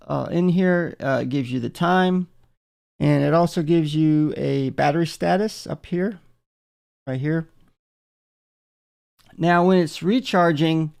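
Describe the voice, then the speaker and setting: A man giving a casual, unscripted product demonstration in a gravelly voice, halting often with filler pauses. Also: fairly high pitch, a little mellow. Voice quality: gravelly, creaky. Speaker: product reviewer.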